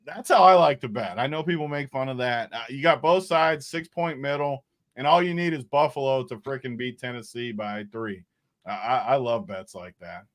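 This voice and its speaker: A man's voice: medium pitch, moderate pace, fairly bright tone. A man co-hosting a sports betting show, chatting casually and laughing often.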